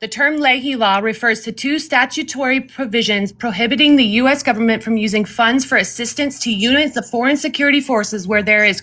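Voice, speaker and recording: A woman's voice: a little high, fairly bright tone. A woman in an online debate, insistently pressing her point and reading out a definition. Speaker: debate participant. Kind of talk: casual live-stream debate.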